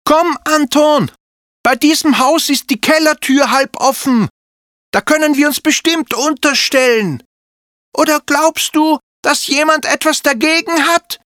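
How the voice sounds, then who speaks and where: A storyteller voicing an excitable young girl dragon in a children's audiobook, high and bright. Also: unhurried, no room sound, studio quality. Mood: urgent.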